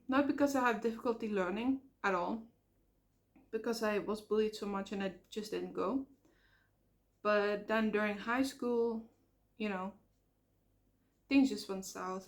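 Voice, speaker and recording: A woman's voice: medium pitch, fairly even intonation, a little slow. A woman from the Netherlands with a Dutch accent, talking in an earnest, rambling way with fillers and self-corrections in a personal vlog. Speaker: vlogger. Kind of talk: casual personal vlog talk.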